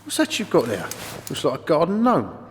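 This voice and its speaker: Sly cockney mate, lightish lively voice, radio comedy, long stairwell-like reverb. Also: male voice, fairly high pitch, fairly quick. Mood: falsely casual. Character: matey, sly cockney neighbour and secret lover. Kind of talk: comedy radio play acting. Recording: long echoing reverb, faint noise.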